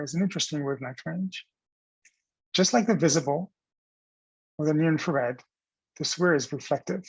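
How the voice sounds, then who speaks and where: A man presenting scientific research as explanatory narration over slides, pausing mid-phrase in a halting delivery. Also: a little high, slightly rough, dry recording, dull audio.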